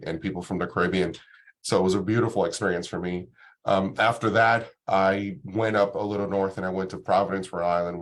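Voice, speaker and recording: Male guest speaker, very low pitch, easygoing and halting. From Dominican Republic. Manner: relaxed, many ums and restarts. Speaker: guest doctor presenting. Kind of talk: casual talk to an online audience.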